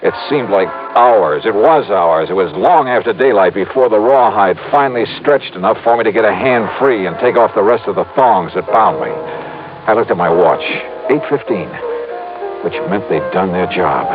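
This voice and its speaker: A narrow, phone-like old radio recording with music behind a deep-voiced detective played by a man. He is wry, tough and unflappable, talking in clipped hard-boiled fashion as a radio crime serial hero. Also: animated, normal speed, lightly acted. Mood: tense, pained. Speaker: first-person narrator and hero. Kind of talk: old-time radio detective drama narration.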